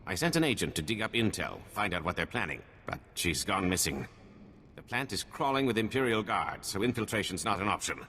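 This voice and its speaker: Forceful, earnest resistance foreman, reverberant. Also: fairly low pitch, sing-song, brisk. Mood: weary, urgent. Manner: urgent, businesslike, forceful. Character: earnest, weary male foreman leading a resistance. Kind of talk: scripted video game cutscene acting.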